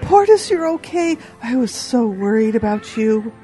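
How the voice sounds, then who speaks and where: A fretful female farm animal played by a woman, high-pitched and very animated. She comes across as worried and scolding, in children's audio drama style. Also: measured pace, faint music behind. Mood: relieved.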